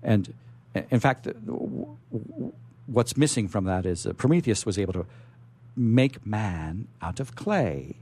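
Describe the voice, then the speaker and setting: A man hosting a radio show, chatting and reading aloud in a rambling, halting way, often repeating words. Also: on the low side, sing-song, mellow, slight background noise. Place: radio studio. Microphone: studio microphone.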